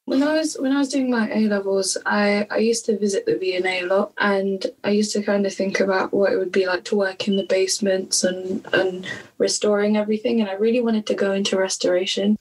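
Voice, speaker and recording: A young woman from Kenya, an artist, answering interview questions casually and thoughtfully, with many pauses. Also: medium pitch, slightly rough, low-quality recording. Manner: thoughtful, many ums and restarts. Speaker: artist, podcast guest.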